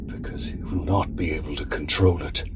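A man's voice: rumbling low, fairly even intonation, moderate pace. A man playing a scheming, condescending cult mastermind in an audio drama, speaking in a dramatic, portentous way. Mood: grave, ominous. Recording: noisy background ambience.

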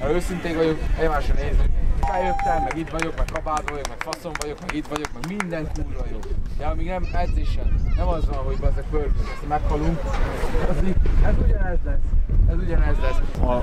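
A man giving his team a blunt, sweary post-game talk in a huddle. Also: medium pitch. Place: outdoor sports field, among a team huddle. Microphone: handheld camera a few steps away. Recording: noisy outdoor sideline, crowd around.

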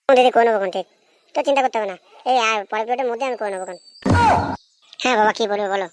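A woman as a fast-talking little cartoon schoolboy. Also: fairly high pitch, a little hiss or hum, lightly acted. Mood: carefree. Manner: casual, repetitive, brushing off advice. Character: carefree procrastinating young schoolboy, little brother. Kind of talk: amateur cartoon dialogue.

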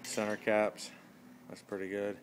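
Casual, plain-spoken man, a bit low, doing a rambling outdoor phone review. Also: completely flat delivery, unhurried, a little hiss or hum, low-quality recording. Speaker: product reviewer. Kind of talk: casual product review vlog. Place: outdoors by a van on pavement.

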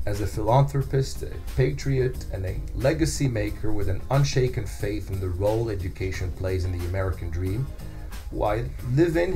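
A middle-aged man playing an earnest hometown journalist in a scripted podcast, telling local history in a measured way with deliberate pauses. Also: fairly low pitch, mellow, natural voice. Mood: admiring. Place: small indoor podcast studio. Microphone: close studio microphone on a stand.

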